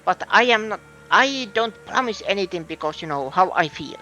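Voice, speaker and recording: A man's voice: high-pitched, animated, moderate pace, bright, forceful tone, faint music behind. A man with a Finnish accent, thinking aloud in a hesitant way as he comments on a game. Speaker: streamer. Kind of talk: casual gameplay commentary.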